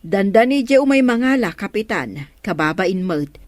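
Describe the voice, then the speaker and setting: A woman's voice: mid-range voice, lively intonation, moderate pace, dry recording, natural voice. A woman with an Ilocano accent playing an exasperated, plain-spoken village staffer who scolds and complains in a radio comedy drama.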